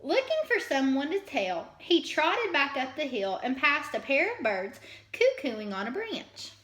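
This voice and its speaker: Female storybook reader: high, bright, expressive, dramatic, recorded in an echoey room. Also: a little slow. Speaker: teacher reading a storybook aloud. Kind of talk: expressive children's read-aloud.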